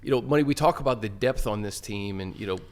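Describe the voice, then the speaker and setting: A man's voice: on the low side, fast talker. A man hosting a sports podcast, leading the talk conversationally and running through players and plays. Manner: conversational, leads the discussion and lists players. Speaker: podcast host. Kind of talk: casual sports podcast chat.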